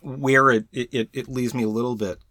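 A man co-hosting a casual film chat, speaking in a halting, mulling way with repeated false starts. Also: mid-range voice, little pitch movement, bright, forceful tone, dry recording. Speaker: podcast co-host.

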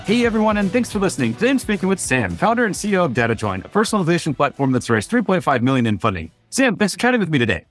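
A man's voice: fairly high pitch, sing-song, very fast, hardly any pauses, faint music behind. A man hosting a podcast, asking questions in an upbeat, friendly way. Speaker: podcast host. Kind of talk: podcast interviewing.

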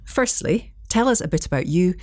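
A woman's voice: on the low side, expressive, normal speed, warm tone. A woman hosting a podcast interview, reading friendly, upbeat scripted questions. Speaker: podcast host.

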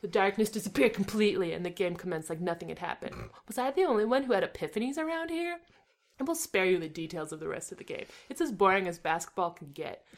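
A woman's voice: mid-range voice, quick pace. Laughing woman reading fan fiction aloud. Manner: reads with deadpan asides, often laughing. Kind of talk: comedic dramatic reading with banter.

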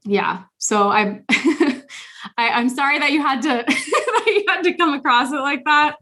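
A woman's voice: high voice, animated, unhurried, pushed and bright. A young adult woman hosting a podcast, talking earnestly in casual conversation with lots of fillers and restarts. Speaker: podcast host.

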